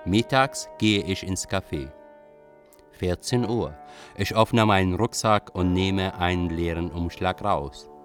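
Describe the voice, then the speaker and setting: An actor playing a brooding young Iraqi exile and writer, narrating a literary radio drama in an introspective, deliberate way. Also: male voice, sits low, soft background music. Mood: matter-of-fact.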